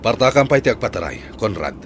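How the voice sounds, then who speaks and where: A man playing a remorseful older father and employer in an Ilocano radio drama, with a husky voice and emotional delivery. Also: fairly low pitch, sing-song, fairly quick, background noise. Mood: anxious. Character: remorseful older father, employer seeking his lost son.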